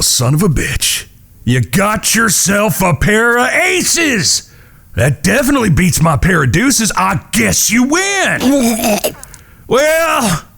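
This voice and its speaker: A man playing a vengeful, coldly taunting gunslinger in a Western audio drama, with a drawl, husky and profane. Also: high-pitched, fairly bright tone, full character voice.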